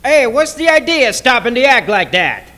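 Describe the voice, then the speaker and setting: A man's voice: extremely high pitch, big pitch swings, moderate pace. A man playing a blustering, impatient nightclub owner in a radio play, indignant and demanding. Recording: noisy recording with clicks.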